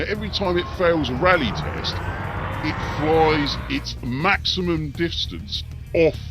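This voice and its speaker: A man giving tactical commentary on a video game as he plays, talking through each move and reacting to it. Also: mid-range voice. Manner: narrates tactics and reacts to the action. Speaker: gaming video host.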